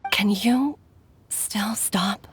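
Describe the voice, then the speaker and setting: A woman's voice: medium pitch, drawn-out words, slightly rough, exaggerated voice. Earnest, caring woman doctor. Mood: worried pleading. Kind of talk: scripted video game dialogue.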